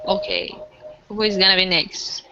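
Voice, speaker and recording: A woman from Iran, a graduate student, speaks briefly and politely in an online meeting. Her call line carries a steady, clear hiss. The sound is muffled and dull. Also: mid-range voice, normal speed. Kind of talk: casual online meeting chat.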